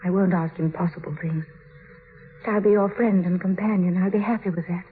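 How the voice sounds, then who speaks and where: A woman's voice: lowish voice, steady tone, fairly quick, slight background noise, lightly acted. A woman playing an anxious, devoted young wife in a 1950s radio drama, with an earnest, pleading delivery. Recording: narrow-band vintage radio recording with music.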